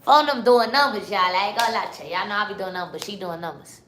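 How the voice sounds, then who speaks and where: A woman's voice: mid-range voice, fast talker, fairly bright tone, reverberant. A young woman from Chicago in her twenties, hosting a live stream in loose, slangy casual chat that keeps turning to the chat. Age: 20s. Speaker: livestream host.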